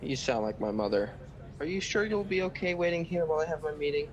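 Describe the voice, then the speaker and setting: A woman's voice: quick pace, lo-fi sound, natural voice. A woman playing a proud, fond young carpenter fiancé in a radio play, playfully earnest. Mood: fond, teasing. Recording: home video call with noise and rumble.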